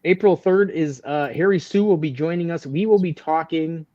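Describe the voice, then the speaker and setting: A man's voice: on the high side, normal speed, dark tone, dry recording. A man co-hosting a casual barbecue live stream, rambling and joking as he repeats phrases and makes announcements. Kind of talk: casual banter and show announcements. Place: video call on a live stream. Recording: slightly dull lo-fi stream audio.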